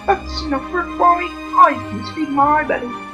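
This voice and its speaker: Woman as a very high-pitched little pig. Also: moderate pace, close to an everyday voice. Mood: content. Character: somewhat lazy little pig in a storybook. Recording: music under the voice.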